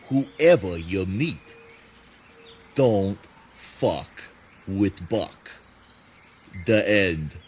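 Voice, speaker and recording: A man's voice: on the low side, breathy edge, exaggerated voice. A man playing a swaggering, foul-mouthed gang boss in a spoken word play, talking in a slangy, taunting drawl.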